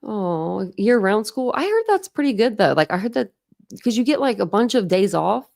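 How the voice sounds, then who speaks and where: A woman's voice: mid-range voice, big pitch swings, normal speed, gravelly, a little mellow. A woman with a Midwestern accent, chatting casually with her viewers as a livestream host, rambling and saying "like" a lot. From Ohio. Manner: rambling, heavy use of "like", restarts.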